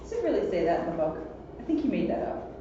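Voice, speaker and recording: A woman's voice: medium pitch, big pitch swings, fast. A woman making casual interjections during a story reading. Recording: echoey, distant, muffled, clearly noisy.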